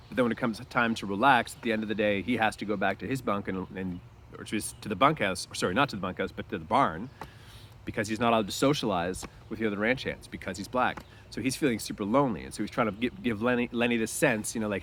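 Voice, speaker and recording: Low-voiced man reading aloud. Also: a bit bright, slight background noise. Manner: explanatory, conversational. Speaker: reader explaining a novel. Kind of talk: read-aloud narration with casual asides.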